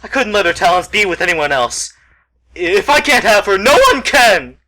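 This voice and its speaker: A man's voice: high-pitched, sing-song, normal speed, bright, forceful tone, exaggerated voice, poor audio. A man playing an obsessive, jealous ex-military mechanic in an amateur radio play, melodramatic and emphatic. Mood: enraged, possessive. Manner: melodramatic, emphatic, repeating himself.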